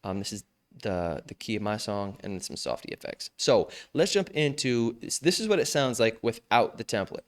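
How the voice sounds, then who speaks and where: A man's voice: on the low side, moderate pace, slight background noise. A young man giving a casual, slangy tutorial on mixing vocals, joking about himself as he walks through his settings. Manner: relaxed, slangy, self-joking. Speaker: music production tutor.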